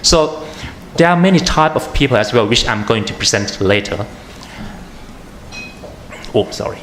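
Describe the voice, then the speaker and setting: Man, Thai accent, halting conference talk, echoey hall, faint hiss. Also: mid-range voice, lively intonation, fairly bright tone. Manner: halting, many fillers and restarts. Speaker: panel speaker, atmospheric chemist. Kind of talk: conference presentation. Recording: echoey room with faint noise.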